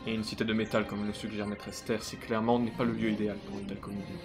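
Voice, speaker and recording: A man playing a composed, principled Jedi knight and mentor in a comic dub, measured and solemn. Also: on the low side, level tone, close to an everyday voice. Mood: contemplative. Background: loud music.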